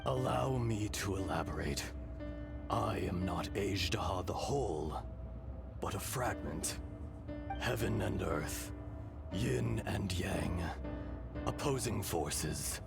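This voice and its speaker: An actor playing a calm, wistful ancient dragon spirit in human form for a video game, speaking solemnly and deliberately. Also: male voice, sits low, creaky, heavily acted. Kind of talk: dramatic video game voice acting. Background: game music.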